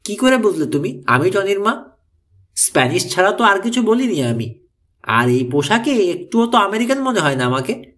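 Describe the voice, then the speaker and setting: Guy doing a worried mom in an audiobook story, wary n anxious, really animated delivery. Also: sits high, moderate pace. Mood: curious. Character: worried, wary American mother posing as Mexican. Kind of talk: audiobook character dialogue.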